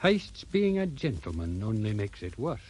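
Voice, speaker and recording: A man's voice: lowish voice, big pitch swings, moderate pace, a little mellow, breathy edge. A man playing a genial, fussy sea captain in an audio drama, polite, hesitant and given to musing aloud. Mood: puzzled, musing.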